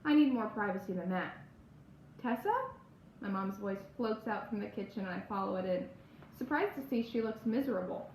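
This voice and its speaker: Expressive woman reading aloud in echoey room. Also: mid-range voice, a little fast. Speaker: audiobook-style reader. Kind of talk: expressive read-aloud of a first-person children's spooky novel. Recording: roomy echo, faint background noise.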